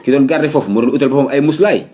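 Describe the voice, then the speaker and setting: A man's voice: medium pitch, very fast, dark tone, slight background noise, lightly acted. A man playing a breezy, matter-of-fact employer with a Senegalese accent in a comedy cartoon. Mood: matter-of-fact, amused. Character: breezy male employer hiring a night watchman.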